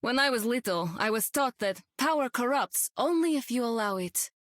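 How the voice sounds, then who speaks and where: An actress playing a young, sardonic demon queen and war captain, deadpan and dry, in a video game. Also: fairly high pitch, moderate pace, no echo, lightly acted. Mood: cool, deadpan. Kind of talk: video game character acting.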